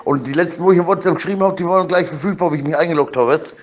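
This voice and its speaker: Guy with a slightly high voice ranting casually, rambly, stammers and repeats words, lots of slang. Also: very fast, dull audio, slight background noise. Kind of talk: casual chat, agitated rant.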